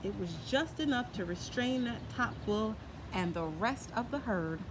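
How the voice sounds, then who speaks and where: A woman telling a children's story aloud, expressive and folksy, now and then adding a comment of her own. Her voice sits a little high. Steady background noise and the sound of passing vehicles run under the reading. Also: unhurried.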